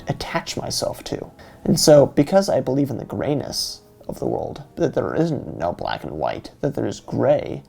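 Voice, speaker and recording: Creaky, animated man reviewing. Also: medium pitch. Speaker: video reviewer. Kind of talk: scripted review narration. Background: faint music.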